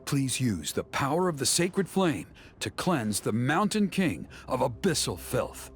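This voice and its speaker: A fanatical scheming elder. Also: male voice, mid-range voice, big pitch swings, a little slow. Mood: solemn. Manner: formal, then fanatical with laughter. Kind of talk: video game voice acting.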